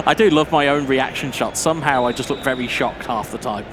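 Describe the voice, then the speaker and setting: A man's voice: medium pitch, moderate pace, noisy background. A man, a former competitor, giving thoughtful co-commentary on an esports football match with frequent fillers and restarts. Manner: reflective, hesitant with fillers. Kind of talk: live esports commentary analysis.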